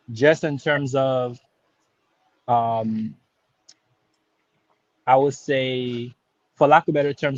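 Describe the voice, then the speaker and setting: A man's voice: medium pitch, a little mellow, no echo, muffled recording. Laid-back Haitian-born man in his thirties. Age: 30s. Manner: hesitant, many restarts and fillers, laid-back slang. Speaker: caller. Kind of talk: casual live-stream call-in chat.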